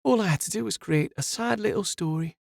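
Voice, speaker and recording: A man's voice: a little high, sing-song, moderate pace, creaky, dry recording, clean studio sound, voice pushed up, full character voice. Man playing a cold, mocking young woman. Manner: condescending, sing-song.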